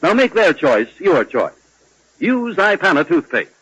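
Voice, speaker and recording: A man's voice: a little high, very animated, unhurried. A man reading a 1940s radio advertisement as announcer, formal and emphatic. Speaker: commercial announcer. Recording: dull, dry 1940s radio recording.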